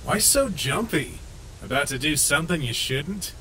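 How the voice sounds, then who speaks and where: A man's voice: a little high, animated, normal speed, bright, forceful tone, a little hiss or hum. An actor voicing a cocky, teasing young male rabbit animatronic in a comic dub. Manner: teasing, playful.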